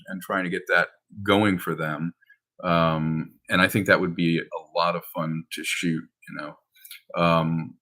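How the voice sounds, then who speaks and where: A man's voice: low-pitched, pushed and bright. A man talking casually and in a rambling, anecdotal way about his work as a filmmaker. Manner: rambling, anecdotal, acts out quoted dialogue. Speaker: film director and screenwriter. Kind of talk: casual conversational update about projects.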